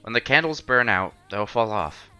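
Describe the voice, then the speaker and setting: A man's voice: fairly low pitch, lively intonation, moderate pace, bright, forceful tone, smooth. A man narrating a visual novel aloud as a streamer, expressively and acting out the dialogue.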